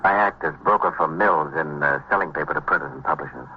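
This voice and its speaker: A middle-aged businessman from an old radio drama, with an extremely deep American voice. He is polite, hesitant and shaken. The sound is dull, with faint noise. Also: fast talker. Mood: subdued. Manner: courteous, hesitant. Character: shaken, polite businessman in his late forties. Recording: dull, noisy vintage radio recording.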